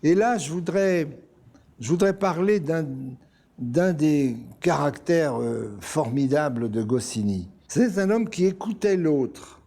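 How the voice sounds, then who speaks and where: A man paying tribute in an interview, deliberate, with pauses and repeated words. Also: on the high side, expressive, mellow. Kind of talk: reminiscing in interview.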